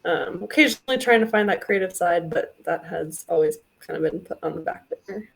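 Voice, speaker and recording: A woman's voice: lowish voice, brisk, gravelly. Chatty woman from Germany. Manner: chatty, many fillers. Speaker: podcast guest. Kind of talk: casual self-introduction. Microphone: video call.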